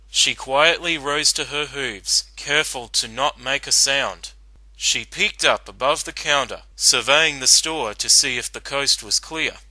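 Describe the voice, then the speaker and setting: A man's voice: medium pitch, bright, forceful tone, no echo, rough recording. A man narrating a story as a dramatic reading.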